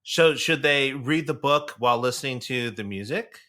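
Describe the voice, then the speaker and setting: A man co-hosting a podcast in casual chat, playful and quick to laugh. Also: medium pitch, sharp tone. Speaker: podcast co-host.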